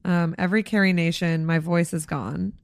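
A slightly hoarse woman co-hosting a podcast, chatting casually, swearing freely and filling with likes and ums. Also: fairly low pitch, fairly even intonation, moderate pace, no room sound, studio quality. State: a little hoarse. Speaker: podcast co-host. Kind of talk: casual chat.

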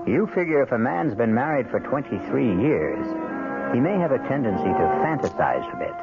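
An actor as a grave, wry storyteller hosting a radio mystery, narrating in a measured, ominous manner. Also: male voice, medium pitch, big pitch swings, gravelly. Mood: wryly ominous. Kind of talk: scripted radio drama narration. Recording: tinny vintage radio broadcast. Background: prominent music.

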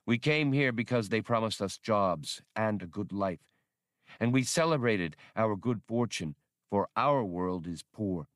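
Audiobook creature role, male reader: grave nonhuman rebel chief, lowish voice, plain earnest wording, very dry sound. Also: lively intonation, unhurried, dry recording. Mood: earnest, resolute. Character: solemn alien creature, rebel leader of escaped slaves. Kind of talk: audiobook character voice.

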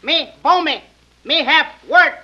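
A man's voice: very high voice, unhurried, pushed and bright, full character voice. A man playing a small, timid bushman servant who speaks clipped broken English in an old radio western. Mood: halting, urgent. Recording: dull old radio recording, distant.

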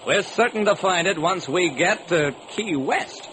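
A man's voice: sits high, lively intonation, unhurried, bright, forceful tone, full character voice. An actor playing a wry private detective who narrates his case in theatrical rhyme, in old-time radio style. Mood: animated, insistent. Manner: theatrical, sing-song rhyming delivery. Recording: noisy vintage radio recording.

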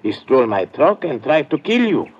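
An earnest farmer with a very lively pitch. He explains himself formally and helpfully, in radio-drama style. The vintage recording is dull with faint noise. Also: moderate pace. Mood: earnest, explaining. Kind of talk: 1950s radio drama acting.

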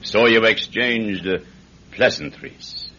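Impatient Irish magistrate, bright forceful voice, authoritative, radio drama, faintly noisy dull recording. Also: male voice, lowish voice, takes it slowly. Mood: brisk questioning. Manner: brusque, authoritative. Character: impatient Irish district magistrate keeping order in court.

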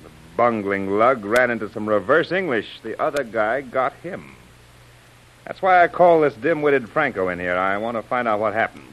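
An actor playing a sinister, scornful gang chief in an old-time radio crime serial, talking in tough gangster slang. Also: male voice, medium pitch, expressive, moderate pace, muffled recording, close to an everyday voice. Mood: scornful, irritated.

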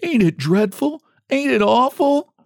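Guy doing scared young farm mom in a storybook, teary, really high voice, rustic way of talking. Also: animated, slow pace, a little mellow, no echo, clean studio sound, heavily acted. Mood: sobbing. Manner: sobbing exclamations in rustic dialect. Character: tearful wide-eyed young country mother, frightened.

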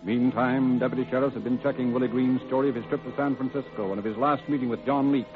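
A grave, formal crime-show narrator, played by a man, delivers dramatic scripted narration at a fast clip. Prominent music runs behind him, and the vintage radio recording is tinny. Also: medium pitch, fairly even intonation, natural voice.

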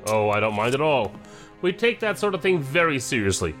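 A man's voice: mid-range voice, sing-song, fairly quick, over music, close to an everyday voice. A man playing a courteous, authoritative federal special agent in an audio drama. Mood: courteous, commanding.

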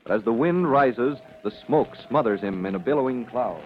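A man's voice: mid-range voice, expressive, normal speed, lightly acted. A man narrating an old-time radio drama as an urgent, declamatory announcer. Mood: dramatic, urgent. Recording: dull vintage radio recording, faint noise.

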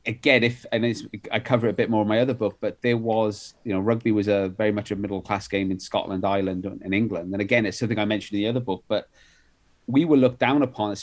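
A middle-aged man from Wales sits as an author guest, talking at length in a fairly low voice. He circles back on himself often, with restarts and fillers, as he works through his thoughts. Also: steady tone, fast. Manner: rambling, many restarts and fillers. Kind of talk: conversational interview.

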